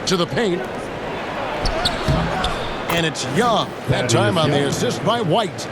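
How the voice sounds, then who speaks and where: A man doing play-by-play commentary for a basketball video game, calling each move in clipped phrases over loud music. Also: on the high side, big pitch swings, slow, heavy background noise. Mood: focused. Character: male TV basketball play-by-play announcer, brisk and focused.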